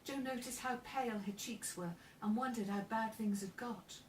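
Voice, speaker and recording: A woman narrating a children's novel as its author, in an expressive storytelling style. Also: on the high side, normal speed. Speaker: author reading own novel aloud. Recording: roomy, distant mic, faint background noise.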